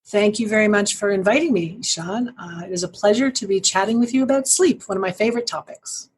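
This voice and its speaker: A woman guest giving articulate, measured explanations as a sleep clinician. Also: mid-range voice, slight background noise. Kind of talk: explanatory interview answers.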